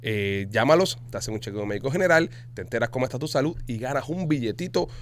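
Man, Cuban Spanish accent, podcast host, rapid chatty delivery, faint background hum. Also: medium pitch, lively intonation. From Cuba. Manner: fast, chatty, salesy. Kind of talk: casual podcast talk with ad reads.

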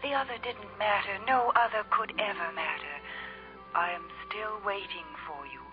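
A woman's voice: medium pitch, normal speed, lightly acted. Actress for remorseful, romantic female sweetheart, 1950s radio voice-over. Tender and yearning, dull vintage sound under music. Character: tender, remorseful sweetheart reading her love letter.

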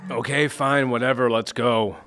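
A man's voice: mid-range voice, fairly even intonation, moderate pace, fairly bright tone, faint music behind, close to an everyday voice. An actor playing a skeptical, grudging townsman in a comic audio drama.

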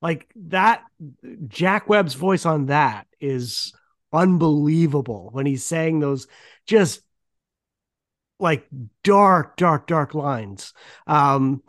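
Higher-voiced, expressive man hosting a podcast. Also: drawn-out words, no room sound, studio quality. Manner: informal, expressive, peppered with "like". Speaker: podcast host. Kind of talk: casual conversational interview.

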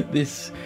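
A man co-hosting a casual podcast, reading listener confessions aloud and often laughing as he goes. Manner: giggly, breaks into laughter while reading aloud.

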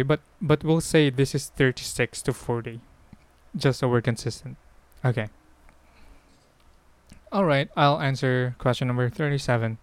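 A man's voice: mid-range voice, clear, resonant tone, dry recording. A man with a Filipino accent hosting a casual solo podcast, answering questions in a hesitant, rambling way as he thinks aloud. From Philippines. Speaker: podcast host.

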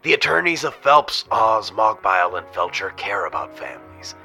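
A man playing a booming announcer in a parody commercial. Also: very animated, moderate pace, gravelly, sharp tone, faint music behind, exaggerated voice. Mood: announcer sincerity.

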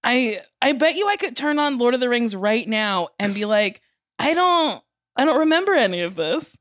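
A woman's voice: fairly high pitch, very animated, normal speed, a bit bright, no echo, studio quality. A woman with an American accent co-hosting a podcast in casual, slangy conversation. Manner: informal, slangy. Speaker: podcast co-host.

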